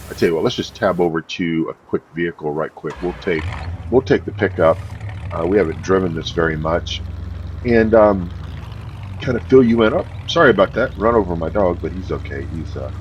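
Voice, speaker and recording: A man hosting a farming game, talking casually in a deep morning voice, easygoing and rambling with many fillers. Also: a little hiss or hum, rough recording. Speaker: game host. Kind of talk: casual gameplay commentary.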